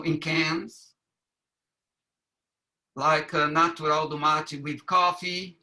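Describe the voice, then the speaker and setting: Slow bright-voiced man. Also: a little high. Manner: halting, many fillers and restarts. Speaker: business presenter. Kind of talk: webinar presentation.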